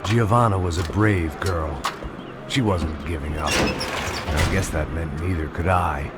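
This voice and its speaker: An actor playing a cynical, middle-aged American bodyguard in a video game, world-weary and sardonic, with a gravelly voice. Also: male voice, sits low, a little slow, heavy background noise. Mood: bitter, wry. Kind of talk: video game acting with noir narration.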